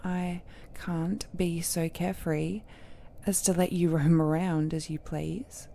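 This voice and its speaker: A woman playing an ancient cursed villain and possessive lover in an intimate audio roleplay, speaking in soft, breathy, half-whispered phrases with dramatic pauses. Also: lowish voice, little pitch movement. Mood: tender, confiding.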